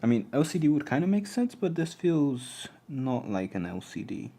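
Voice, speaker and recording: A man's voice: medium pitch, very animated, moderate pace, mellow. A man, born in Poland and raised in England, chatting on a live stream while he takes apart an old camera. He hesitates, restarts his sentences and murmurs to himself as he works. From born in Poland, raised mostly in England. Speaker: electronics livestream host.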